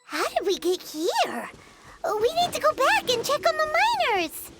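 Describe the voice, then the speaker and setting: A woman voicing a tiny, eager, childlike fairy companion in a video game, with a squeaky voice. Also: sing-song, measured pace, over music, exaggerated voice. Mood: eager, puzzled.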